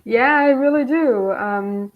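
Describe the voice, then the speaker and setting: A woman's voice: fairly high pitch, slow. A woman in her thirties from Bangladesh, an English teacher, talking in a friendly way with short encouraging replies during a live online lesson. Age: 30s. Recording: dull lo-fi online call audio.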